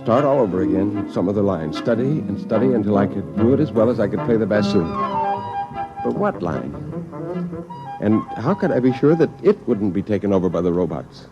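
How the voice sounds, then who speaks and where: Animated, hapless everyman. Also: on the low side, fairly quick, muffled recording. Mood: rueful narration. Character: earnest, agitated, hapless unemployed man narrating his misfortunes. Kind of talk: 1950s radio drama acting and narration. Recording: old radio recording, music behind.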